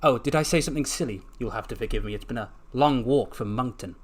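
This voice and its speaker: A man's voice: mid-range voice, fast talker, slightly rough, natural voice. A man voicing a cheeky female investigator in a story, with a chirpy, casual, inquisitive manner.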